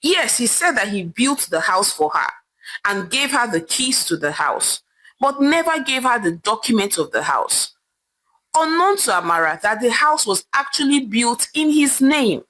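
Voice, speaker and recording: A woman's voice: a little high, big pitch swings, unhurried, a bit bright, rough recording. A woman with a Nigerian accent telling a folktale as a dramatic narration. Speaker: storyteller.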